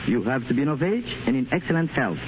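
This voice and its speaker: Guy, halting, lively pitch, hissy old radio. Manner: hesitant, searching for words. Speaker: guest. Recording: hissy dull 1930s radio broadcast.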